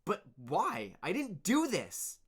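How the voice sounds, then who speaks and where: A man's voice: high-pitched, wildly swooping pitch, slow, a bit bright, slightly rough, voice pushed up, exaggerated voice. A man voicing a young boy who stammers as he protests his innocence. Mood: upset. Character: young boy worker, wrongly accused.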